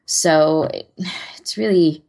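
Woman, quite deep voice, podcast co-host, casual chat, informal, step-by-step explanations with asides. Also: slow, darkish tone.

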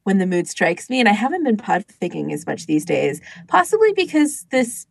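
A woman co-hosts a casual podcast chat in a medium-pitched voice. She leans on "like" and slips into imagined quotes as she explains. The sound is dry, with no room on it. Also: big pitch swings, normal speed, vocal fry, no room sound. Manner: frequent use of "like", quotes imagined speech. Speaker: podcast co-host.

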